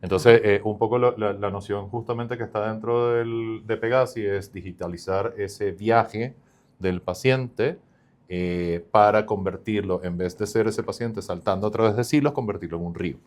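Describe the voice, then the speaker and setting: Lower-pitched man explaining with fillers. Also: moderate pace, darkish tone. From not from Chile. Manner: upbeat, explains at length with many fillers. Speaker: startup founder guest. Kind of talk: podcast interview.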